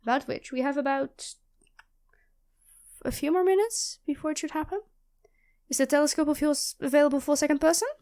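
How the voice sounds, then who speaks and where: Woman acting high-voiced, nerdy, flustered female student. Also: a little fast, long pauses. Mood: curious, awkward. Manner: expressive, flustered, rambling, self-correcting. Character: nerdy, chatty, apologetic young female astrology student. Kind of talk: audio roleplay acting addressed to the listener.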